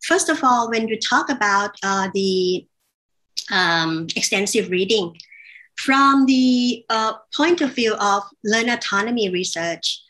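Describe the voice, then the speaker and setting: A woman from Thailand speaking as an associate professor on a webinar panel, halting and correcting herself often as she explains. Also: medium pitch, lively intonation, fairly bright tone, rough recording. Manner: halting, with frequent fillers and self-corrections. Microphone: video call.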